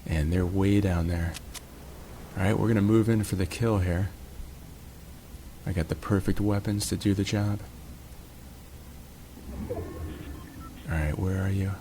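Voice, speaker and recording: A man's voice: low-pitched, fairly even intonation, unhurried, long pauses, noisy background. A man hosting his own channel, casually narrating a match from home in a laid-back, jokey, chatty way. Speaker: gaming channel host. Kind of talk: relaxed gameplay commentary.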